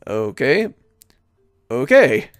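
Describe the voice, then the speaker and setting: A man giving casual video game commentary, thinking aloud in a rambling, halting way as he plays and reads from the screen. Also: medium pitch, sing-song, dry recording, clean studio sound, soft background music. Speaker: let's-play host.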